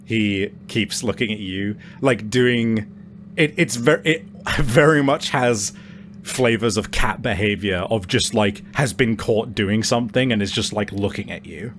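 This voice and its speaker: A man's voice: on the low side, measured pace, fairly bright tone, slight background noise. Casual man running a tabletop game. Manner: casual, sweary. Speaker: game master. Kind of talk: improvised narration of a tabletop game.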